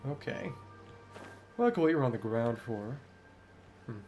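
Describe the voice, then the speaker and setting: A man streams a video game with casual running commentary. His mid-pitched voice swings up and down in a lively way as he thinks aloud, with music playing behind him. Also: a little slow. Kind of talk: casual let's-play commentary.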